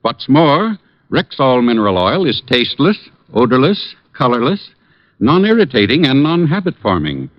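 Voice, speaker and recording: An actor playing a courteous family druggist giving a measured, reassuring sales pitch in a 1950s radio commercial. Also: male voice, sing-song, close to an everyday voice. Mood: earnest, reassuring. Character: courteous, earnest male family druggist endorsing products. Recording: dull vintage radio recording.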